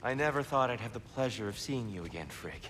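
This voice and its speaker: An actor playing an old god disguised as a war god, speaking gently and courteously in a video game cutscene. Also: male voice, medium pitch, close to an everyday voice, poor audio. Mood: soft, courteous. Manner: gentle, measured, apologetic. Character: elderly god disguised as a war god, soft-spoken. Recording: game audio with steady background noise.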